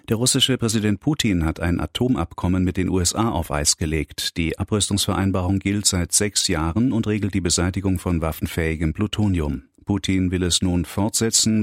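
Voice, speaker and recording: A man reading a formal radio news bulletin in a neutral, clearly enunciated way. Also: low-pitched, dry recording, clean studio sound. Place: radio studio.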